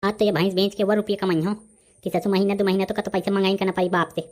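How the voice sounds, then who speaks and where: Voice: medium pitch, flat delivery, quick pace, a little mellow, close to an everyday voice. An actor with a Bundelkhandi accent voicing a brash, argumentative village man haggling in a comedy cartoon. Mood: grumbling.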